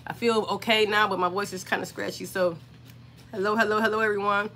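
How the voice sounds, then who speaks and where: A woman in her forties, hoarse and scratchy from being sick, chatting on a livestream from her home craft room and greeting viewers by name. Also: medium pitch, flat delivery, brisk, pushed and bright. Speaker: craft channel host.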